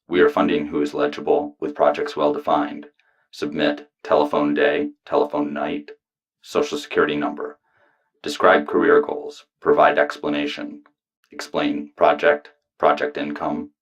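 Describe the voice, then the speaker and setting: A man reading poetry aloud in a flat, official manner, in clipped lists and commands. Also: extremely low pitch, moderate pace. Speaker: poetry reader. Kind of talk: deliberate poetry recitation.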